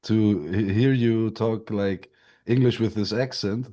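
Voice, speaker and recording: Mellow-voiced young man, slangy host. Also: fairly low pitch, measured pace. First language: Greek. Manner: relaxed, slangy, calls guest bro. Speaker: podcast host. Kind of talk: casual podcast conversation.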